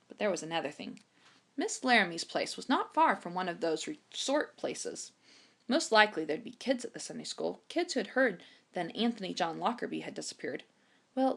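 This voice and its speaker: A woman reading a children's chapter book aloud in an expressive storytime narration style. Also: fairly low pitch, gravelly. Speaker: storytime reader.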